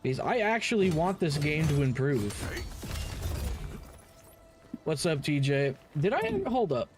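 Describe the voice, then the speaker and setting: Animated, higher-voiced man streaming a game. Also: moderate pace. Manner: blunt, opinionated, heavy swearing. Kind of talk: casual live stream commentary.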